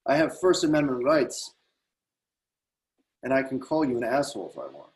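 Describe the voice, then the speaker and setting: A man's voice: medium pitch, big pitch swings, normal speed, close to an everyday voice. A man reading a taunting rowdy troublemaker. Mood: defiant. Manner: mocking, belligerent taunts. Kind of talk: readers theatre script reading.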